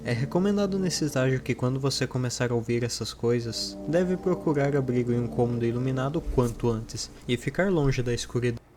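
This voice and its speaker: A man's voice: mid-range voice, brisk, few pauses. Casting: male narrator for horror documentary, mid-range voice, scripted read, strong music bed. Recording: prominent music under the voice.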